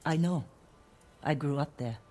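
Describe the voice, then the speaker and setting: A woman's voice: sits low, a little slow, dark tone, a little hiss or hum. Tough female thief, blunt, sardonic, self-reliant, guarded game drama, faint background ambience. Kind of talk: dramatic video game acting.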